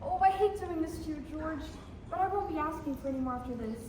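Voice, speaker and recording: A woman's voice: high voice, quick pace, close to an everyday voice. An actress playing a flirty, restless small-town woman with a coy, theatrical manner in a stage radio play. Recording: echoey distant stage recording, faint noise.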